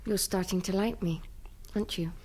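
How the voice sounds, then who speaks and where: A woman's voice: medium pitch, steady tone, normal speed, darkish tone, slightly rough, rough recording. An actress playing an eager, needy young rich woman in a radio drama, hesitant and anxious to please. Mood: wistful.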